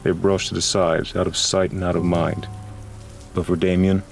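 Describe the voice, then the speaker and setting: A man's voice: low-pitched, little pitch movement, moderate pace, creaky. A man reading scripted noir horror narration as a grave, dramatic storyteller. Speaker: narrator and voice actor. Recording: music and rain behind voice.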